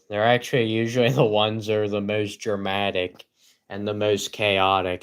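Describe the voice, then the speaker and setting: Gruff, cynical young man ranting. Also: fairly low pitch. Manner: cynical, rambling, laughs often between points. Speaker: livestreamer. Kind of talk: casual solo livestream rant.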